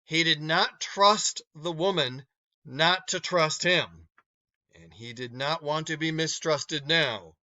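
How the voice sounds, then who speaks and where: A man narrating a short story aloud expressively, with deliberate emphasis and dramatic pauses. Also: fairly high pitch, sharp tone, no room sound.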